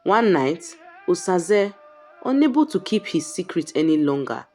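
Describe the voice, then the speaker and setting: Woman storyteller, lowish pitch, unhurried, suspenseful folktale narration, deliberate pauses, soft music behind. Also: sing-song. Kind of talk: dramatic scripted folktale narration.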